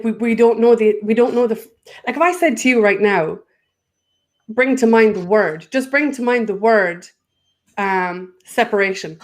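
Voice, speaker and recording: A woman with an Irish accent, a guest in a spiritual conversation, speaking earnestly and often breaking off to restart her thought. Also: mid-range voice.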